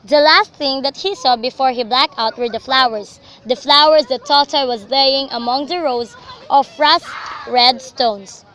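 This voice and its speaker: A woman's voice: high voice, unhurried, a bit bright. A young woman narrating a scripted story for a radio drama.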